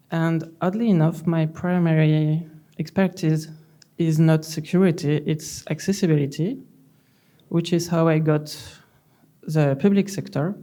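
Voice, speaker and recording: French-accented woman, middle-aged, conference speaker at a lectern; slow, relaxed delivery, dry humor. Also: low voice. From France. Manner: slow, calm, gently humorous. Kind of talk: conference talk. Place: large conference hall, on stage at a lectern.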